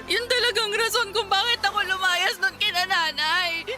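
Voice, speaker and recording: A woman's voice: extremely high pitch, fairly even intonation, normal speed, over music. A woman playing a hurt, defiant young runaway daughter in a radio drama, tearful and accusing. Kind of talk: Tagalog radio drama acting.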